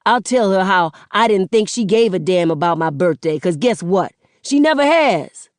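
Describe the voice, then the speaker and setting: A woman voicing a hurt young man who shouts and swears at his mother. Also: mid-range voice, animated, moderate pace, no room sound, studio quality, close to an everyday voice. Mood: angry.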